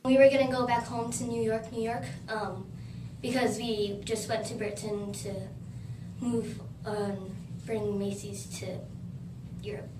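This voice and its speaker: A woman reciting a historical role in a class presentation, halting now and then. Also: fairly high pitch, little pitch movement. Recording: echoey room, far mic, noisy.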